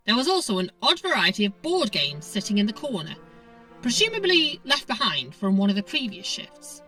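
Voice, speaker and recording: A woman reading an audiobook story as a scripted narrator, in an expressive, dramatic storytelling manner. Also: mid-range voice, far from the mic, low-quality recording. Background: background music.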